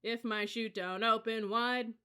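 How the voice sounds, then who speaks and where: A reader putting on a loud sing-song cadet drill leader. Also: mid-range voice, steady tone, unhurried, clear, resonant tone, voice pushed up. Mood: sing-song chanting. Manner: chanting running cadences and barking orders. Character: young male cadet drill leader, loud, commanding, cocky.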